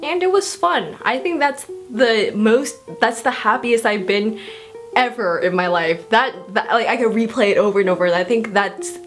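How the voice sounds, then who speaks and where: A teenage girl answering viewer questions in a casual vlog, bubbly and self-mocking, with quick asides. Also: on the high side. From South Korea. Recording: music playing under the voice. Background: background music.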